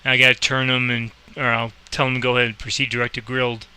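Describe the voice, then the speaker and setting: Male game tutorial host, informal and rambling. Crisp, very forward tone, little melodic movement, switches into controller phrasing. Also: mid-range voice, little pitch movement, fast talker, bright, forceful tone, low-quality recording. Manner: informal, rambling, thinking aloud. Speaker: host explaining a game and playing air traffic controller. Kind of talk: casual screen-recorded game tutorial with radio calls.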